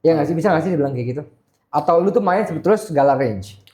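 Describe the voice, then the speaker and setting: A man's voice: a little high, animated, quick pace, a little mellow. A man with a Jakarta Indonesian accent hosting a casual podcast, chummy and slangy, mixing in English words. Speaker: podcast host.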